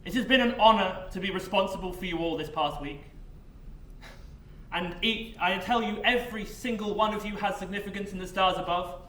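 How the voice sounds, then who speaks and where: A man's voice: high voice, fairly quick, bright, forceful tone. An actor playing a droll, officious male overseer, giving formal and pedantic announcements in a stage play. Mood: solemn, kindly. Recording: roomy distant stage sound with noise.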